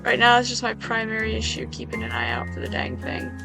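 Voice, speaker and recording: Slightly hoarse woman chatting over gameplay. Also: on the high side, very animated, fast, music in the background, rough recording. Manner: chatty, wry asides to herself. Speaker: gaming video creator. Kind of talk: casual gameplay commentary.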